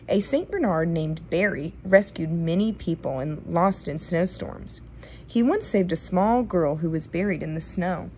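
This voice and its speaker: Woman, lowish voice, very animated intonation, children's read-aloud, careful delivery, noisy home recording.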